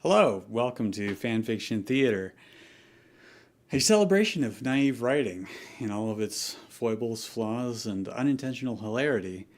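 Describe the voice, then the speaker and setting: A man's voice: medium pitch, animated, moderate pace, a little mellow. A man hosting a casual comedy reading show, introducing and bantering with a group. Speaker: host of a comedy fan fiction reading show.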